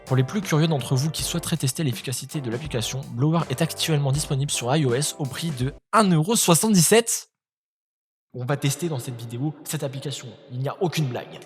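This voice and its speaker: A chatty, joking man reads online articles aloud and keeps cutting in with slangy quips. His voice sits at an ordinary middle pitch. Clear music plays behind him. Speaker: YouTuber reacting to web articles. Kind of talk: casual reaction commentary, reading articles aloud.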